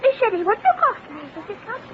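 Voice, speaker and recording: A woman's voice: extremely high pitch, sing-song, rapid-fire. A woman playing a chatty, innocent five-year-old girl in a radio drama, earnest and childlike. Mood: eager. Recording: dull lo-fi radio recording, faint noise.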